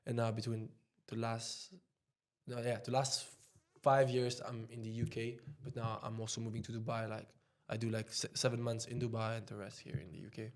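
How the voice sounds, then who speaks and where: A man's voice: medium pitch, monotone. Swiss French man, rambling casual talk. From Switzerland. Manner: loose and halting, heavy on "like" fillers. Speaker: podcast guest, trader.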